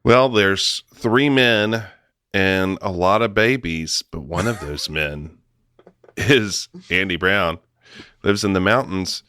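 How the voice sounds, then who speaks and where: A man's voice: low voice, slow pace, sharp tone, clean tone, no echo. A man hosting a comedy podcast, making playful, rambling introductions in a casual chatty style. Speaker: podcast host.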